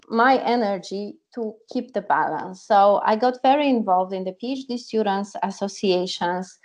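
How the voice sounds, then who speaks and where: A woman's voice: medium pitch, darkish tone. A woman, a psychologist telling her story earnestly in an online talk. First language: Polish. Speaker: psychologist and coach. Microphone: video call. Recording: lo-fi video call audio.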